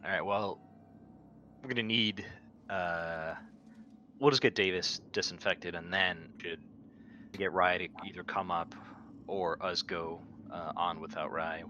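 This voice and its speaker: A man with a deep voice talks casually as a player at a tabletop roleplaying game. Clear noise sits behind his voice. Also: steady tone, unhurried, long pauses, sharp tone, muffled recording. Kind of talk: casual in-character game chat.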